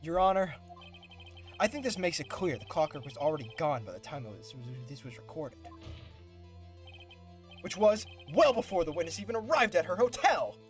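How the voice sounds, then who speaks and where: A man's voice: high-pitched, sing-song, normal speed, pauses often. A man playing a young, combative defence lawyer in a live video game fandub, with dramatic courtroom declarations. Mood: accusing. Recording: faint music behind the voice.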